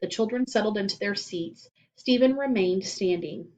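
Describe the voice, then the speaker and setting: A woman storyteller reading a children's book aloud in animated scripted narration. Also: mid-range voice, moderate pace, poor audio.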